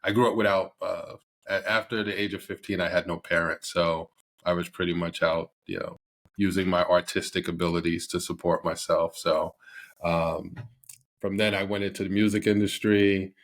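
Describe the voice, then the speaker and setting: Middle-aged man from Barbados, deep monotone, halting guest with lots of ums. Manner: hesitant, many fillers and restarts. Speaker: podcast guest, company CEO. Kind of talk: casual interview.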